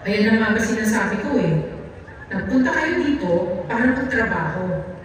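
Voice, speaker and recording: A woman's voice: mid-range voice, lively intonation, normal speed, lightly acted. A woman playing a stern, exasperated government welfare officer on stage, scolding bluntly. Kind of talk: amateur stage play acting. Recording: echoey hall from far off, crowd noise.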